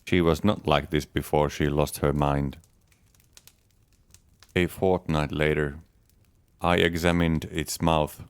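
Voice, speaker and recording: A man giving a measured, deadpan first-person reading of a comic diary, speaking as its writer. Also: rumbling low, smooth, no room sound. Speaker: audiobook reader. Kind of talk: dramatic reading of a diary as Adam.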